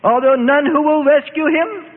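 Man doing shrill old woman's voice, anguished, high and breathy, tinny phone-like sound, long reverb. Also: normal speed.

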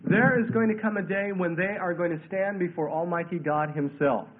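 Congested, high-pitched male preacher. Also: normal speed. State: congested with a head cold. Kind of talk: verse-by-verse Bible sermon. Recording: thin phone-like radio sound.

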